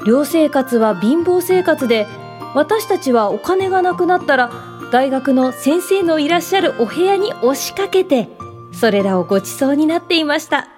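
An actress playing a cheerful, curious young college student in a radio drama, eager and forthright but polite. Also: female voice, high-pitched, animated, normal speed, darkish tone, faint music behind. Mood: fond reminiscence. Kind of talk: Japanese radio drama acting.

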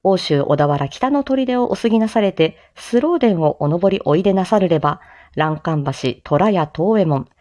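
Expressive woman reciting, animated pitch swings. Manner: declamatory, sing-song, playful asides. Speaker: amateur voice drama performer, streamer. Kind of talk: theatrical rapid recitation of a traditional Japanese sales-pitch monologue parody. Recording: slightly dull lo-fi microphone sound.

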